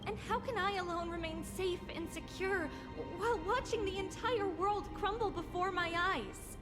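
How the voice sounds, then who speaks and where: A woman's voice: very high voice, normal speed. A woman voicing an anguished but resolute young girl prophet in a fantasy game, earnest and formal. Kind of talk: dramatic fantasy game dialogue. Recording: game music behind the voice.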